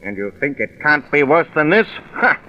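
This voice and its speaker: Bitter old convict, tinny radio sound. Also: male voice, fairly high pitch, sing-song, moderate pace. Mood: bitter gloom. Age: older. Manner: weary, scornful, emphatic. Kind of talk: old-time radio crime drama acting. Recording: tinny, noisy vintage radio recording.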